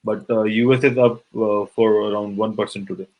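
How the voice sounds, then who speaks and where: A man's voice: fairly low pitch, fairly even intonation, brisk, lo-fi sound. A man reading out statistics hesitantly, with many fillers. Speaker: data presenter.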